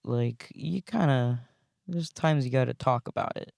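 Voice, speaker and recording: A young man hosting a casual solo podcast from inside his car, recorded on a phone, rambling and swearing freely in a thin voice without much bass. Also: unhurried, vocal fry, a little mellow, no echo, studio quality. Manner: rambling, profane, laid-back with frequent fillers. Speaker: podcast host.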